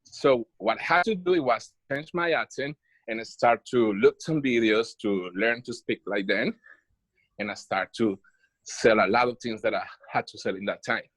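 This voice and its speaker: A man's voice: medium pitch, a bit bright, no room sound, rough recording. A Colombian man whose first language is Spanish, a student telling a personal story in an online class, hesitant with many fillers. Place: video call.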